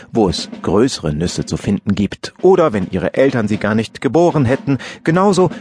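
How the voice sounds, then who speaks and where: A man narrating a children's story in a playful, expressive way, with musing asides. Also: mid-range voice. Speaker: audiobook narrator.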